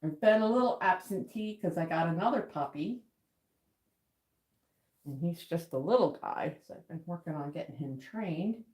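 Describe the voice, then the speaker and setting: A woman's voice: lowish voice, animated, normal speed, darkish tone. A woman with a nasal voice hosting a casual live art stream, chatting to viewers in an informal, rambling, self-deprecating way. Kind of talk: casual livestream chat with viewers. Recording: echoey, roomy sound.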